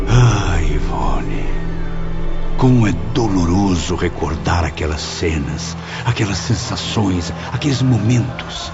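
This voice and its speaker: Guy doing haunted male ghost memoir, deep dramatic literary narration, muffled sound. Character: tormented male suicide spirit recounting his memories. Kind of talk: dramatized first-person audiobook narration. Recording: dull sound, loud music underneath. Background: loud music.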